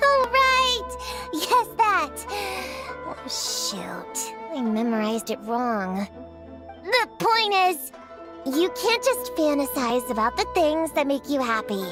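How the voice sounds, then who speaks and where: A precocious little witch girl from an anime game. Her childlike voice is high and lively in pitch, with a bookish, lecturing earnestness. Music plays underneath. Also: slow pace, full character voice. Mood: flustered. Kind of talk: anime video game voice acting. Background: background music.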